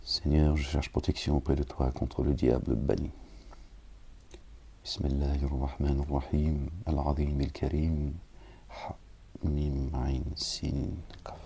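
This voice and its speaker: A man who talks casually with wry, grumbling asides to his listeners, then reads scripture slowly and solemnly. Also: extremely low pitch, flat delivery, dark tone, husky. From France. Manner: slow, measured recitation with Arabic invocations. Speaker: preacher reading scripture.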